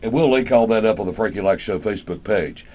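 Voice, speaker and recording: A mature man with a deep, low-set voice. He hosts a live radio interview in a casual, folksy style, rambling a little as he goes. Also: animated, fast talker, mellow. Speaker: radio show host.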